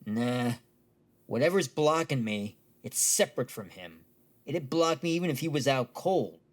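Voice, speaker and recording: A man's voice: medium pitch, animated, measured pace, lightly acted. A man playing a brash, nerdy card-collecting hustler with a mind-reading trade, haggling in a businesslike way in an audio drama. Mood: confident, impatient.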